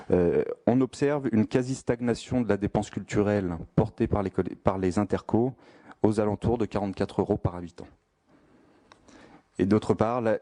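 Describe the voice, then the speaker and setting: A man giving a formal spoken presentation of a statistical study in a measured, careful, explanatory manner. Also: on the low side, mellow.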